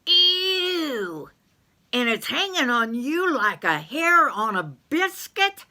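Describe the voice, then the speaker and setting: Woman putting on a shrill frantic man, high and loud, bright tone, yelling. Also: very animated, slow pace, full character voice. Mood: disgusted. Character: panicky squeamish grown man, whiny and shrill.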